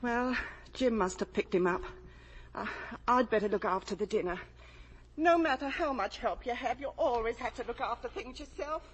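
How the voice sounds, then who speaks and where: An actress playing a fretful, stubborn older mother in a 1950s radio drama, with a husky voice. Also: fairly high pitch, normal speed, a little hiss or hum, close to an everyday voice. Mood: foreboding. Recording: reverberant old radio broadcast.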